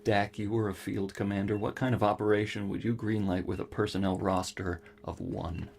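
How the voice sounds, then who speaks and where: An actor playing an earnest, melancholy scientist who speaks haltingly and formally in a science fiction audio drama. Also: male voice, lowish voice, flat delivery, vocal fry, a little mellow. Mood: probing. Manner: halting, deliberate, formal wording. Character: male scientist, earnest, melancholy, cerebral, given to theorizing.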